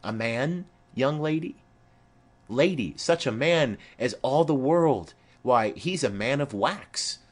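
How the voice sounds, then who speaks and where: A man's voice: mid-range voice, animated, takes it slowly. A man voicing a garrulous, fond old female nurse in a Shakespeare play, rambling and exclamatory. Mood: fond, excitable. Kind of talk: amateur-style Shakespeare play reading.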